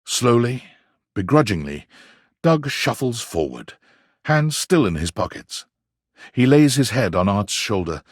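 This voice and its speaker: A man narrating a video game story from a script, in an expressive, dramatic storytelling manner with measured phrasing. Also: lowish voice, husky, no echo, clean studio sound.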